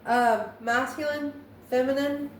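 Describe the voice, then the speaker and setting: A woman's voice: high-pitched, fairly even intonation, measured pace. A woman giving an improvised tarot reading, musing aloud and often asking questions that she then answers herself. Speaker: tarot reader. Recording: roomy echo, faint background noise.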